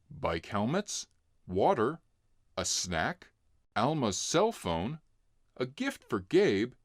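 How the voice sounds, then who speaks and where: A man narrating a children's storybook in an expressive read-along style. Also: medium pitch, no echo, clean studio sound. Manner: expressive, clearly acting out dialogue. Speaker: narrator reading a children's book.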